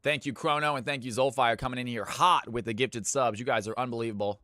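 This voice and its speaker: A man hosting viewer games on a live stream, chatting casually and thanking viewers, with sudden loud hype-announcer bursts. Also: medium pitch, steady tone, fast, dry recording, clean studio sound. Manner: hype announcer bursts between easygoing chat.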